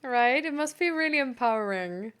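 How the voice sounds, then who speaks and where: A woman chatting casually like a livestream host reading and answering messages, halting and giggly, with lots of "like" as filler. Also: sits high, lively intonation, fairly bright tone.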